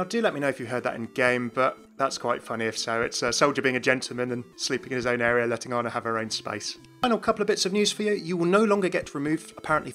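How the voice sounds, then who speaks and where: A man's voice: mid-range voice, fairly bright tone. Male gaming video host over music. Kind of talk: scripted explanatory narration.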